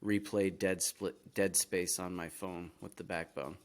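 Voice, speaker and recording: A man's voice: low-pitched, little pitch movement, unhurried. A man co-hosting a podcast, talking in a casual, conversational style. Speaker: podcast co-host. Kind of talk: casual chat.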